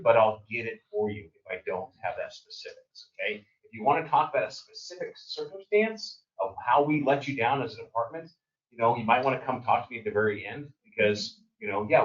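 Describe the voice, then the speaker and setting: A man serving as sheriff, speaking loudly and directly to a community meeting. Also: on the low side. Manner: loud, direct and plainspoken. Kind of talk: public meeting remarks. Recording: dull roomy sound from far off.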